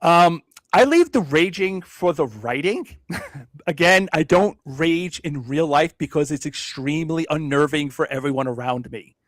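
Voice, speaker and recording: Brief: reflective male guest from Brooklyn, slightly higher pitch, dry close sound. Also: animated, dry recording. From Brooklyn, New York. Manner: measured, self-deprecating, reflective; frequent hedging fillers and restarts. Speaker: podcast guest. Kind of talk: casual interview conversation.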